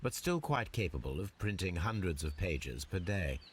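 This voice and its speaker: A shrewd detective from a mystery game, with a low voice that moves freely up and down. He speaks deliberately and precisely, as if weighing each piece of evidence. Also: sing-song, slight background noise. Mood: musing, deliberate. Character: shrewd detective posing undercover as a lockpick thief. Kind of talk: scripted video game acting.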